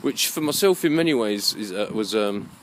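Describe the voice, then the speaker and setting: A man giving a rambling, unscripted monologue in a halting, musing way, often repeating his phrases. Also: fairly low pitch, animated, a little hiss or hum. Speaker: vlogger.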